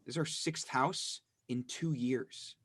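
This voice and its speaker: An actor playing a brooding, detached younger brother giving a dramatic monologue in a play reading. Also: male voice, mid-range voice, slow, background noise, close to an everyday voice. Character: brooding younger brother narrating his broken family.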